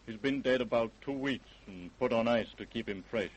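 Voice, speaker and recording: A man playing an unhurried American police doctor in an old-time radio crime drama. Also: mid-range voice, a little hiss or hum, close to an everyday voice, rough recording. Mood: matter-of-fact.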